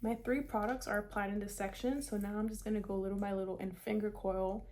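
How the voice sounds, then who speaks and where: A woman's voice: mid-range voice, fairly even intonation, fast, darkish tone. A woman in her twenties from South Florida, chatting casually through a hair tutorial with many "like" fillers. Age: 20s. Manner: chatty, upbeat, lots of "like" and "kinda". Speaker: beauty vlogger.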